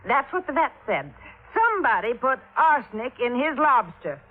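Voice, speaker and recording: Very animated fluttery older widow. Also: female voice, sits high, moderate pace. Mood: anxious, chatty. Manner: fluttery, gushing, folksy. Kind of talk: 1950s radio drama acting. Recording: narrow, noisy vintage radio recording.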